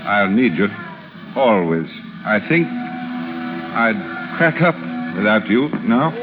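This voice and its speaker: A man's voice: fairly low pitch, sing-song, slow, over music. An actor playing an ardent, commanding businessman in his forties, in 1930s radio drama style. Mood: yearning. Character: ardent, commanding forty-six-year-old wealthy businessman in love. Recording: tinny, hissy 1930s radio recording.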